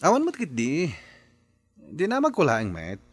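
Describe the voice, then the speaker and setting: A man's voice: fairly high pitch, huge pitch swings, a little slow, slightly rough, dry recording, exaggerated voice. A man playing a nosy young house servant who hesitantly but bluntly questions his rich employer in a radio drama. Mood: probing. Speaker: servant, Dodong.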